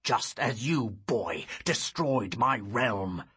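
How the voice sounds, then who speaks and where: A man voicing a bitter old male sorcerer villain who speaks slowly and grumbles. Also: medium pitch, expressive, fairly bright tone, husky, heavily acted. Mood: scornful. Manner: grumbling, slow and menacing.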